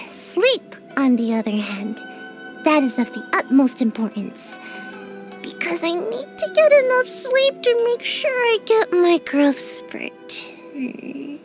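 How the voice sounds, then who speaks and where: A woman's voice: very high voice, sing-song, slow pace, music in the background, heavily acted. A sleepy little ninja girl. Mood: drowsy. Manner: drowsy, sighing, mumbling. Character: sleepy small girl ninja, lazy and evasive. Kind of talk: video game character voice lines.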